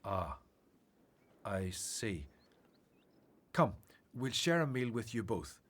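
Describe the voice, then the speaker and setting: Man acting gentle prophet-teacher, husky, widely varied pitch, assured, earnest, radio play. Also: big pitch swings, slow, full character voice. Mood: gentle, caring. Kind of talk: radio Bible drama acting.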